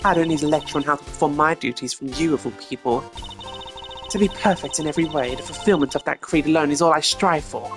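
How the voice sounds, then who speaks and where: A man's voice: on the high side, quick pace, natural voice. A man voicing a strict, haughty young female prosecutor who scolds and commands, over game music. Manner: imperious, scolding.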